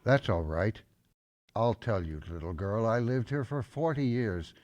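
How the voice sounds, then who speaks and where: Radio drama old man , male actor: grumpy but soft-hearted grandfather, folksy, very slow, hesitant delivery. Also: fairly low pitch, natural voice. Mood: wistful. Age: older.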